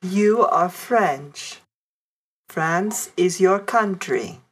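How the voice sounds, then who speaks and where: A woman reading example sentences in a language lesson, slow and even. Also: fairly low pitch, husky. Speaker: course audio reader.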